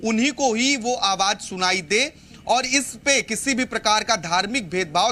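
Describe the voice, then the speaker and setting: Indian man, live news reporter, high pitch, sharp bright voice, formal explanatory delivery, halting restarts. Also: a little hiss or hum.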